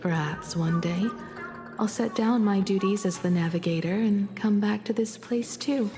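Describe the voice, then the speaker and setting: An actress playing a devoted, nostalgic young adult daughter and star navigator in a video game, speaking softly and sincerely with composure. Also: female voice, medium pitch, moderate pace, lightly acted. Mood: heartfelt, reflective. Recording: music under the dialogue.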